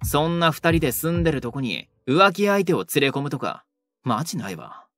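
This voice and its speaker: A man's voice: on the high side, very animated, moderate pace, fairly bright tone, faint music behind. A man voicing a young delinquent who is defensive and easily flustered, in an audio drama. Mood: irritated. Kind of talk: Japanese boys' audio drama.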